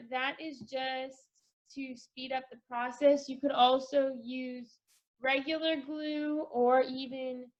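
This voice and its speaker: A woman presenting a casual step-by-step craft tutorial for children, speaking in an instructional way with pauses between phrases. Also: high voice, a bit bright, dull audio. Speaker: craft presenter for a children's read-aloud project.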